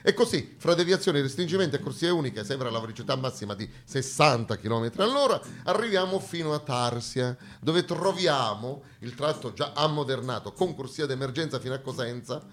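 A man's voice: medium pitch, fairly quick, few pauses. Southern Italian man, theatrical satirical monologue. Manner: dramatic, sarcastic, expressive storytelling. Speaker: stage actor and monologuist.

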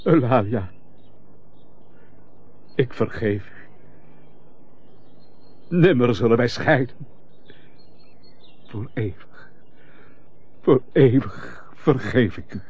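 An actor playing a wounded, noble husband and father in a radio melodrama, speaking in a grave, halting, declamatory way. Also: medium pitch, expressive, darkish tone, breathy edge, exaggerated voice. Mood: overcome, forgiving. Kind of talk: theatrical radio drama acting. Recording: dull radio play recording with background noise.

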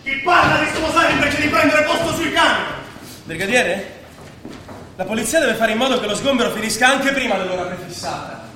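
Scheming refugee leader, high male voice, commanding, urgent, stage drama, distant in a very echoey space. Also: expressive, a little fast, pushed and bright, full character voice. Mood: impatient. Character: Remo, bossy, scheming leader of evicted refugees. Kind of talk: staged radio drama acting. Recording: echoey stage from far off, noisy.

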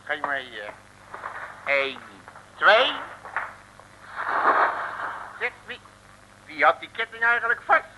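An actor playing a brash, excitable, slangy detective with a blustery, stammering manner in a 1950s comic radio serial. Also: male voice, on the high side, slow, pauses often. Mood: busy, excited. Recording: old noisy radio recording.

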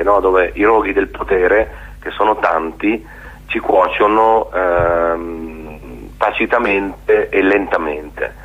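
A man being interviewed on radio, speaking in a halting, reflective way and often checking for agreement. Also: sits low. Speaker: theatre maker being interviewed. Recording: dull, lo-fi radio interview sound.

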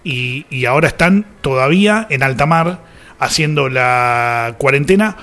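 A man's voice: medium pitch, animated, unhurried, pushed and bright. A man with an Argentine accent hosting a radio show in a casual, conversational way that tends to digress.

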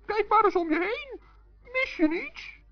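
A little forest gnome, good-natured and earnest, with an extremely high voice. It comes from a children's radio play with dull, old sound. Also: lively intonation, a little slow, full character voice. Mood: matter-of-fact, friendly.

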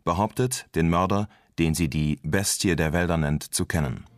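Very deep-voiced male announcer. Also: normal speed, loud music behind the voice. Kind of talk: scripted radio play introduction.

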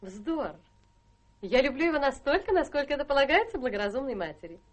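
Young society wife, high pitch, very animated, coquettish, ambitious, self-assured, theatrical radio drama. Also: female voice, a little fast. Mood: cool, coaxing. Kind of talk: theatrical radio play acting.

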